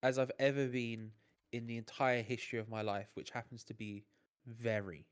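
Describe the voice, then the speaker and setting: A man in his twenties speaks at an everyday middle pitch, stuffed up with a cold. His manner is low-key, and he mumbles his lines in casual banter. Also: flat delivery, moderate pace, smooth. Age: 20s. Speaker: podcast co-host. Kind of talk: casual chat.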